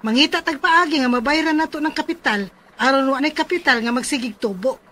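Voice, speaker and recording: A woman's voice: high-pitched, fairly quick, pushed and bright. An actress playing a firm, worried thirty-two-year-old mother in a Cebuano radio drama. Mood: concerned.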